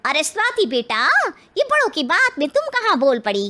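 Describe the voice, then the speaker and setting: A woman's voice: high voice, wildly swooping pitch, moderate pace, sharp tone, full character voice. A woman playing a bossy, bustling matchmaking aunt in a cartoon story. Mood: scolding. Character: pushy middle-aged matchmaking aunt.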